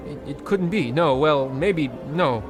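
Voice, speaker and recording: A man playing a pompous, excitable husband in a mock old-time radio comedy, theatrical and stammering when flustered. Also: fairly high pitch, a little slow, over music, close to an everyday voice. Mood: flustered, grandiose. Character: Percival, pompous, excitable husband with a gambling weakness.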